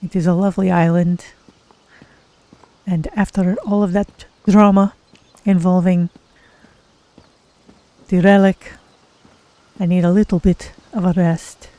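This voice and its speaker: A gentle, musing cat-folk adventurer played by a streamer with a dark, mellow, mid-pitched voice. The phrasing is deliberate and formal, like a weary traveler thinking aloud in a fantasy game. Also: level tone, no echo, natural voice. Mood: musing, calm. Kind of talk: in-character game roleplay.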